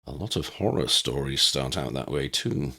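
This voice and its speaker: A man voicing a calm, courteous old man in an audiobook, speaking in a measured, formal and unruffled way. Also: very deep voice, slightly rough, voice pushed down, full character voice. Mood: gently reassuring. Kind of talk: audiobook character voice.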